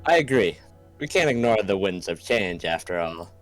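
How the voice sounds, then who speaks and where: A man's voice: deep voice, very animated, moderate pace. A man playing an earnest reformist politician in a political game, making his points in a declarative way. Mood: earnest resolve. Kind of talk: scripted political game voice-over. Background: background music.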